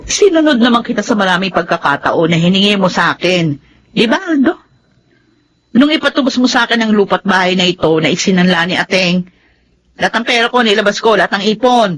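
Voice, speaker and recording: Emphatic, principled wife. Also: female voice, medium pitch, animated, brisk, fairly bright tone. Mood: hurt, reproachful. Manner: emphatic, reproachful. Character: Chona, principled outspoken wife and mother. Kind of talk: Tagalog radio drama acting.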